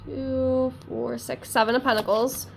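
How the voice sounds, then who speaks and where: A woman's voice: sits high, flat delivery, measured pace, creaky, a little hiss or hum. Congested chatty woman reading tarot. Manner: rambling, talkative, self-deprecating. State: congested from a cold. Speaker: tarot reader.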